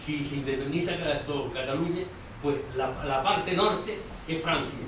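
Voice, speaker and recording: A man's voice: fairly high pitch, normal speed. A man asking an informal question from the audience at a public talk. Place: event room, far from mic. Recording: very echoey, distant mic, clear noise.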